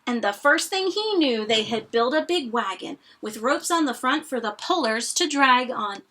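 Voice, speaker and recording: Female children's storybook reader: high, bright, dramatic, rhyming delivery with casual asides. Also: expressive, moderate pace. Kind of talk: expressive read-aloud of rhyming story.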